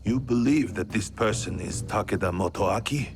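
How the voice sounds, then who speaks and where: A man playing a stern, dignified feudal Japanese lord in a video game, speaking formally and gravely. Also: on the low side, normal speed. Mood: grave, commanding. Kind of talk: dramatic video game cutscene acting.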